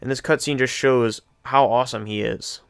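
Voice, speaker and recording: Man, casual video host, enthusiastic, rambling, clean smooth voice, dry recording. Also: mid-range voice, fairly even intonation, normal speed, no room sound, faint music behind. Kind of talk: casual unscripted commentary.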